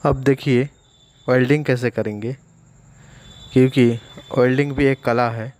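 A man in a workshop, calmly explaining his welding work step by step in a casual how-to voice-over. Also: medium pitch, measured pace. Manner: plain, steady, explains step by step. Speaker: welder demonstrating a technique.

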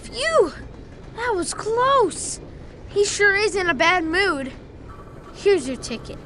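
A woman's voice: very high voice, very animated, slow pace, a bit bright, a little hiss or hum, exaggerated voice. A woman voicing a brave, earnest young boy in a video game, eager and reassuring. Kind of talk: video game cutscene acting.

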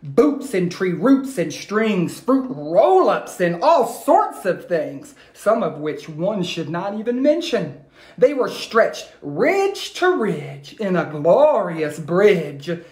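A middle-aged man reads to children at a slow, expressive pace. He speaks directly and encouragingly to his young listeners. It is recorded on a phone in an echoey room at home. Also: high-pitched. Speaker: educator reading aloud to schoolchildren. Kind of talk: expressive children's read-aloud.

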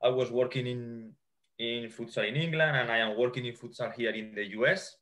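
A man from Spain with a Spanish accent talks through his slides on a video call. His voice is bright and forward, and he often circles back to repeat a word before going on. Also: mid-range voice, brisk. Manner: hesitant restarts, repeated words. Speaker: guest expert, sports scientist. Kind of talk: explaining a slide presentation over a video call. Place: small indoor room. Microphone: video call webcam.

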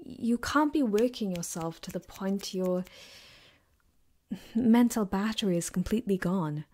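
Soft, slow girlfriend ASMR voice acted by a woman. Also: medium pitch, animated. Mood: tender, reassuring. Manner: gentle, reassuring, unhurried. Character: caring, gently teasing young girlfriend giving comfort. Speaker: ASMR roleplay performer. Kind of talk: soft, intimate ASMR roleplay. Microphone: close binaural microphone.

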